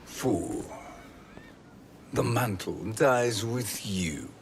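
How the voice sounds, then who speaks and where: Slow, gloating sorcerer villain. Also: male voice, mid-range voice, very noisy. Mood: cold gloating. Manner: slow, menacing, grandiose. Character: gloating, power-hungry male sorcerer. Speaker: villain in a video game cutscene. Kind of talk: theatrical game voice acting. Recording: loud music under the voice.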